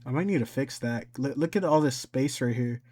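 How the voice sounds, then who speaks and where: A man giving a casual, informal software tutorial, talking himself through each step as he goes. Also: mid-range voice, fairly quick, slight background noise. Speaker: tutorial host.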